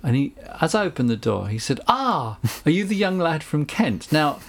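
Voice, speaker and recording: A middle-aged man with a Southern English accent, a recording engineer telling anecdotes in a relaxed interview, chatty with frequent asides. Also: on the high side, moderate pace. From England. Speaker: guest, recording engineer and producer.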